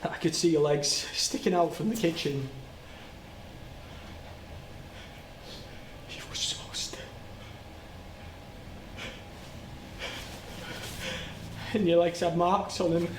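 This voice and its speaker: An actor playing an anguished, guilt-ridden working-class man in a halting, plain-spoken theatrical monologue. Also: a little high, lightly acted. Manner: halting, plain-spoken, repeats and stumbles over words. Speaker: stage actor. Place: indoor soundstage. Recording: roomy, noisy soundstage livestream.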